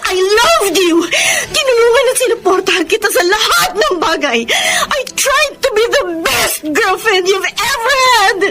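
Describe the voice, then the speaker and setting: A woman's voice: extremely high pitch, lively intonation, a little slow, few pauses, rough recording. A woman acting a heartbroken, possessive young girlfriend in radio melodrama, with a Filipino accent and an emotional, pleading manner. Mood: tearful pleading. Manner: emotional, dramatic, code-switching pleading. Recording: loud music behind the voice.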